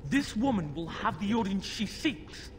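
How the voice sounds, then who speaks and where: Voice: mid-range voice, expressive, normal speed, a little hiss or hum, close to an everyday voice. A performer playing a demon-possessed young boy in a fantasy game, haughty, mocking and commanding. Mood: petulant menace.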